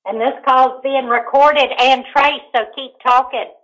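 A woman's voice: sits high, steady tone, a little slow, a bit bright. A woman with a Southern US accent talking casually on the phone in a defiant, goading way. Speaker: prank call target. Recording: muffled telephone call.

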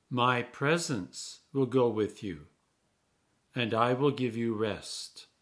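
An older man reads scripture aloud in the manner of a church minister. His pace is slow and deliberate, while his pitch rises and falls widely to stress key words. The tone is solemn and measured. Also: a bit bright. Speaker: Anglican clergyman reading scripture. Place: small indoor room.

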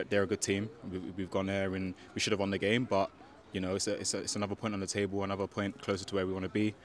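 A young man, a football player giving a post-match interview outdoors into a handheld mic, hesitant with many fillers and restarts. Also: on the low side. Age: young adult. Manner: hesitant, many fillers and restarts, keeps asking "do you know what I mean". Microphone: handheld microphone.

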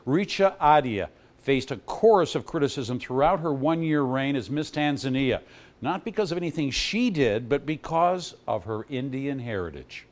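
A man presenting a television news feature in a measured, formal delivery. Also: mid-range voice, lively intonation, slight background noise. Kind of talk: scripted news narration.

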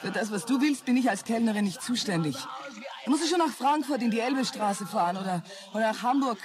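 A saucy, flirtatious barmaid, played by a woman with a very animated, mocking delivery. It is radio-play acting, with a busy crowd murmuring behind her. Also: fairly high pitch, fast, close to an everyday voice. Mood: pert, flirtatious. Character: saucy, teasing barmaid holding out for marriage.

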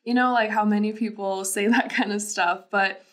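A young woman from Lithuania co-hosting a podcast, explaining things in a calm, measured way. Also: mid-range voice. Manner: calm, measured, frequent "you know". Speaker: podcast co-host. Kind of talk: casual podcast chat. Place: small bright studio room, indoors. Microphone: desk microphone.

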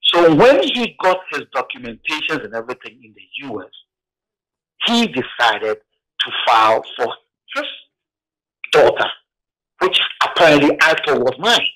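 A man calls in to a morning radio show over a phone line. He speaks in a heavy, halting way and often restarts. His voice is bright and swings widely in pitch. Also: very animated. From Ghana. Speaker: caller.

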